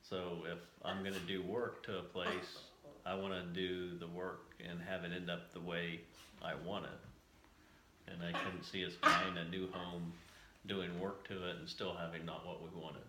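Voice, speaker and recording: Low, even-voiced American man, echoey room. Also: unhurried. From United States, moved around before settling in Southern Ohio. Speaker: house-hunting show applicant. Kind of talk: casual interview-style chat to camera. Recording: echoey room with faint background noise.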